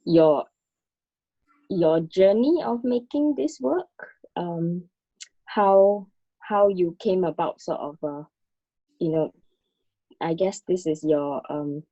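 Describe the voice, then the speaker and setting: A woman talks reflectively and casually with a fellow artist, feeling her way through her sentences. Her voice is lowish and soft-edged in tone, picked up dry with a slightly dull sound. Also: dry recording. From Singapore. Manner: hesitant, self-conscious, searching for words.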